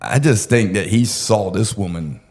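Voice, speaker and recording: A man's voice: fairly low pitch, normal speed, darkish tone. A man telling a true-crime story casually, with crude, profane joking and laughter woven in. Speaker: podcast storyteller.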